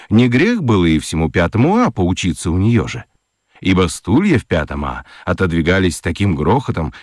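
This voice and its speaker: A man narrating a children's radio play in a gently ironic, highly expressive storytelling manner.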